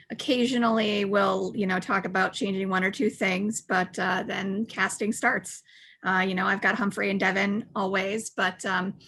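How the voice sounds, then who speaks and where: A woman's voice: medium pitch, fairly bright tone. A woman talking casually as an interview guest, an audio theater director explaining things.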